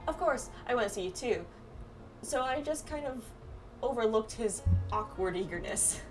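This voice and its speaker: A woman's voice: on the high side, expressive, moderate pace. A woman doing casual, reactive video game playthrough commentary and reading dialogue aloud. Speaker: gamer playing through a video game. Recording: distant mic under clear background music. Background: music.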